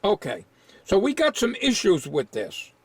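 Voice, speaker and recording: A man giving an informal, unscripted hands-on repair demonstration, thinking aloud in a halting way. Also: sits high, huge pitch swings, breathy edge, no echo.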